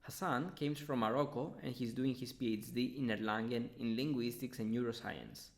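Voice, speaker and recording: A man's voice: mid-range voice, normal speed. A man hosting a podcast, speaking in a conversational academic interview style.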